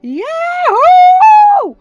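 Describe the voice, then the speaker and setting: Woman as loud, cocky male rogue pilot. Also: very high-pitched, wildly swooping pitch, very slow, dull audio, over loud music, voice pushed up, heavily acted. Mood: triumphant. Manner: loud, exuberant.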